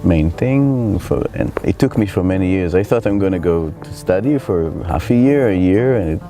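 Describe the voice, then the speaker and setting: A middle-aged man from Israel with an Israeli accent, telling his life story in a reflective, halting way as he searches for words. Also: low voice, animated, mellow, soft background music. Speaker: spiritual teacher. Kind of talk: personal life story told to camera.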